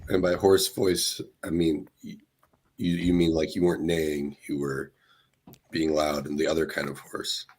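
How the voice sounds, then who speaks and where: Slightly hoarse gruff guy, really low husky voice, dry podcast cohost. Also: little pitch movement, moderate pace, a little mellow. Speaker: podcast co-host. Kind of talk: casual chat.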